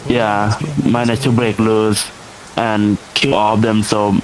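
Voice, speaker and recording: A man's voice: on the low side, flat delivery, slow, rough recording. A man playing a shaken patrol soldier in online roleplay, fumbling for words over rain and chatter. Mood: shaken, halting. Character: shaken patrol soldier who escaped captivity. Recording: rain and chatter behind voice chat.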